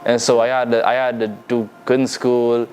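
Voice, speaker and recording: A young man from the Bahamas with a Bahamian accent, talking casually as a music artist being interviewed, relaxed and full of fillers and restarts. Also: mid-range voice, normal speed, smooth. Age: young adult. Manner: laid-back, loose, lots of fillers and restarts. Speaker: musician guest.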